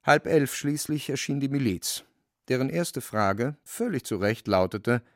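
A man's voice: medium pitch, expressive, measured pace, no echo, clean studio sound. A man narrating a literary radio drama in a wry, dramatic storytelling manner.

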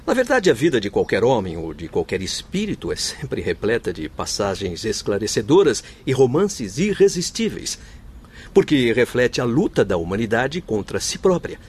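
Solemn, eloquent Brazilian doctor, animated delivery. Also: male voice, mid-range voice, normal speed, husky. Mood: solemn, counselling. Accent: Brazilian Portuguese. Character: earnest, courteous male doctor spirit in the afterlife. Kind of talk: Brazilian radio drama acting.

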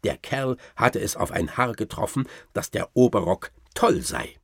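An actor playing a boastful old-time baron, telling tall tales with ornate, dryly ironic theatrical expression in a radio play. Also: male voice, fairly low pitch, expressive, a little slow, vocal fry, breathy edge, no echo, studio quality, exaggerated voice. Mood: wry, boastful. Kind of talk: theatrical first-person storytelling in a radio play.

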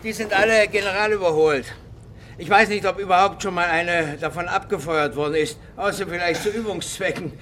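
A man's voice: sits high, brisk, a bit bright, slight background noise. An actor playing a matter-of-fact, affable arms dealer who explains like a practical salesman in a radio crime drama.

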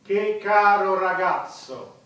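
Sentimental official in a radio play, high voice, way off in a very echoey space. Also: male voice, animated, takes it slowly, fairly bright tone, exaggerated voice. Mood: fond musing. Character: official musing sentimentally to a president. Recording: very echoey, distant stage sound.

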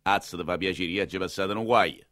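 A man's voice: deep voice, steady tone, fast, fairly bright tone, no room sound, clean studio sound. A man playing a pompous, drunken old cardinal, grumbling and scheming in a stage monologue. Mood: conspiratorial. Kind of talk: theatrical monologue dialogue.

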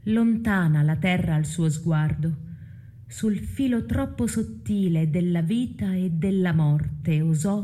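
A woman with a dark, mellow voice recites poetic narration for a radio play. She takes it slowly, in a solemn and declamatory way, measuring each phrase. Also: on the low side.

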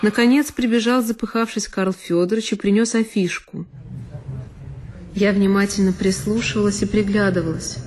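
A woman actress giving an expressive, reflective narration in a one-woman audio play. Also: medium pitch, moderate pace. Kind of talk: scripted literary narration. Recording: long reverb with violin music. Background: solo violin.

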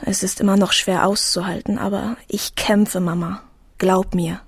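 Quiet teen girl in a radio drama, breathy, hesitant but determined, dry recording. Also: mid-range voice, flat delivery, moderate pace, no echo. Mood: fragile, earnest. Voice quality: breathy, husky. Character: fragile but determined sixteen-year-old daughter.

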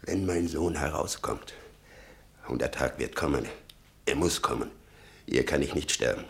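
An actor playing an embittered old father in a German radio drama, gravelly, muttering and brooding with sudden outbursts. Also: extremely low pitch, measured pace, a bit bright, heavily acted. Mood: brooding, regretful. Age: older. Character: embittered old father obsessed with his convicted son.

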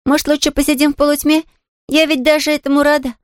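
A woman's voice: sits high, little pitch movement, fast talker, no room sound, studio quality, voice pushed up, natural voice. A woman voicing a polite, chatty, cheerful little girl in an audiobook, eager and artless. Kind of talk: audiobook character voice.

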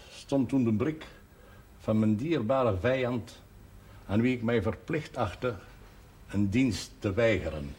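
An actor playing an elderly village priest in a television drama, speaking in formal, measured, slightly hesitant phrases. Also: male voice, medium pitch. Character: old village priest, courtly, wistful, gently teasing. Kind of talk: television period drama dialogue. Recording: faint background noise, 1970s television audio.

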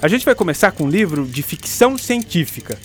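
A man's voice: high voice, big pitch swings, fairly quick, slight background noise. A man acting in a radio drama as a literature teacher, explaining things informally to a class, with a Brazilian accent. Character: engaging male literature teacher addressing teenage pupils.

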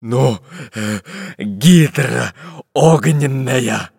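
A man voicing a fat, bossy male pirate captain who talks in a slow, blustering, threatening way. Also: mid-range voice, vocal fry, darkish tone, husky, no echo, clean studio sound, long reverb tail, full character voice. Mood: fearful.

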